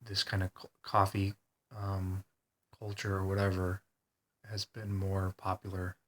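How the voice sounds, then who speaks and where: A congested man talking hesitantly in a rambling personal video diary, full of pauses and ums. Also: sits low, completely flat delivery. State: congested, stuffed-up nose. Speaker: vlogger.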